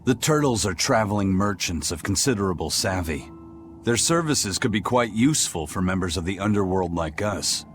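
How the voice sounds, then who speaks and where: A man playing a gruff, worldly male spirit pirate in a video game, terse and matter-of-fact. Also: low voice, animated, normal speed, faint music behind. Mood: dry. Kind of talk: video game cutscene acting.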